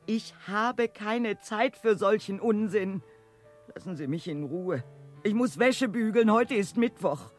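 Radio drama: stubborn, fussy housewife, very animated pitch, unhurried delivery. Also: female voice, soft background music. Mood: agitated, insistent. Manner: repetitive, fussy, muttering to herself, then sharply dismissive. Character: harried, stubborn housewife nursing a sick man. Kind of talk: German radio drama acting.

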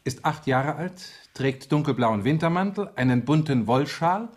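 An actor playing a businesslike police inspector in a 1970s radio crime drama, clipped and dryly sarcastic. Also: male voice, fairly high pitch, normal speed, close to an everyday voice. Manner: clipped, dry, matter-of-fact.

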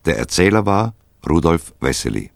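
Very deep-voiced male radio announcer. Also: very animated, gravelly, no room sound, studio quality. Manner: measured, matter-of-fact. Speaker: credits announcer. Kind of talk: formal scripted radio credits announcement.

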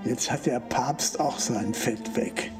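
A man's voice: mid-range voice, takes it slowly. A man recalling and commenting in a hesitant, reflective manner. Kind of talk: spoken recollection and commentary. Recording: prominent music behind the voice.

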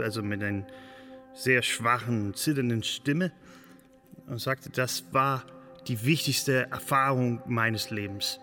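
In a reverberant space with faint music, a man tells his story in a forceful, bright voice. He is earnest and fascinated, groping for words as if speaking off the cuff. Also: mid-range voice. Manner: hesitant, searching for words. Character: earnest, fascinated man recounting a public spectacle. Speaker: storyteller in a radio play. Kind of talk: spontaneous-sounding documentary recollection. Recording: long reverberant hall sound.

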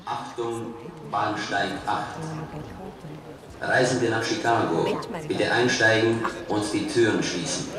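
An actor playing a formal railway announcer over a station loudspeaker in a radio drama. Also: male voice, lowish voice, fairly even intonation, slow, a crowd in the background. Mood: official. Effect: station loudspeaker reverb. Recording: very echoey, distant hall with noise.

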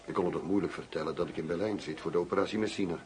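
Actor as deep-voiced, guarded undercover agent. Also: male voice, rapid-fire, natural voice. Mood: bitter, restrained. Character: controlled undercover agent posing as shady arms-dealing doctor. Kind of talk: radio drama acting.

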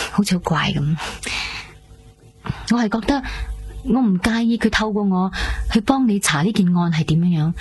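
A woman's voice: mid-range voice, a little mellow, husky. An actress playing an earnest, determined young woman in naturalistic Hong Kong Cantonese radio drama dialogue. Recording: music behind the dialogue.

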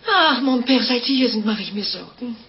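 Murderous innkeeper wife, highish voice, chatty and totally matter of fact, old dull radio drama recording. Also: female voice, lively intonation, normal speed, noisy background. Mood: worried, confiding. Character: French innkeeper's wife calmly confessing murders. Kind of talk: 1950s German radio drama acting.

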